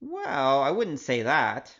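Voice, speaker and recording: A cocky young adventurer , acted by a man, breezy and wry, with a very lively voice. He is chatty and confiding as an audio drama storyteller, on a slightly dull recording. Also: mid-range voice, takes it slowly, full character voice. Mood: upbeat. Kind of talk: audio drama acting and narration.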